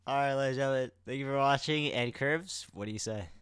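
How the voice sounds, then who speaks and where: A man's voice: medium pitch, quick pace, fairly bright tone, clear, resonant tone, no room sound. A man co-hosting a podcast in informal, playful banter, speaking close to the microphone. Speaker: podcast co-host.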